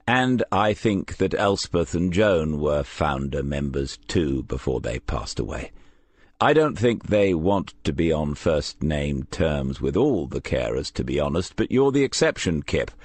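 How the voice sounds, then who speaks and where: A man voicing a friendly but quietly insistent English police detective in an audiobook, questioning in a measured, conversational way. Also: rumbling low, dry recording, exaggerated voice. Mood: friendly, coaxing.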